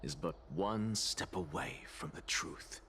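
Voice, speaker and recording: A man's voice: deep voice, lively intonation, slow, fairly bright tone, a little hiss or hum, exaggerated voice. An actor playing an arrogant, intense male scholar who talks in a haughty, commanding way and sighs often. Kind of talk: video game voice acting.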